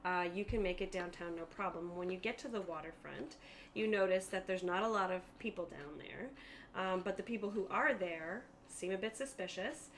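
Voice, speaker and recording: A woman's voice: fairly low pitch, normal speed, slight background noise. A woman narrating a tabletop roleplaying game as game master, explaining things in a conversational way.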